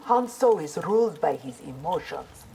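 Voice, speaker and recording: A proud ninja warrior in a fighting game. Her low voice is formal, earnest and resolute, with a faint layer of noise behind it. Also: animated, normal speed, vocal fry, dark tone, low-quality recording. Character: proud, honorable female ninja warrior in armor. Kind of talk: video game character dialogue.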